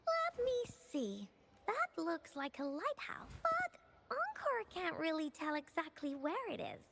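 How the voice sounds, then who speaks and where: A woman's voice: very high-pitched, wildly swooping pitch, unhurried, a bit bright, a little hiss or hum, heavily acted. A woman voicing a cheerful, imaginative little girl adventurer in a cute anime video game, eager and speaking of herself in the third person. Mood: curious. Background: game music.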